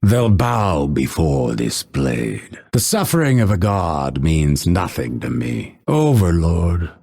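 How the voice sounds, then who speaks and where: An actor voicing a grim, vengeful male assassin in a video game, husky and gravelly, with measured, solemn, dramatic delivery. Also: low voice, very animated, darkish tone, exaggerated voice. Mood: brooding, measured. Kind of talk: scripted game character voice lines.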